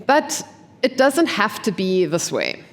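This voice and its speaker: A woman's voice: medium pitch, big pitch swings, slow pace. Congested woman presenting in a reverberant hall. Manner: clear, structured explanation with rhetorical questions. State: congested from a cold. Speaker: investment team member presenting at a forum. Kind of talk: conference presentation. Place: conference venue.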